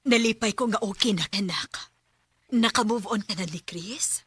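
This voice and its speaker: A woman playing a gentle caring mother. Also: mid-range voice, normal speed, music in the background, lightly acted. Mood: tender. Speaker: mother of the lead.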